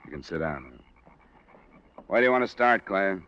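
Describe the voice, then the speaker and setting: An actor playing a tough, steady insurance investigator with a clipped, matter-of-fact manner in a 1950s radio detective drama. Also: male voice, low-pitched, moderate pace. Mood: firm. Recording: muffled, noisy vintage radio recording.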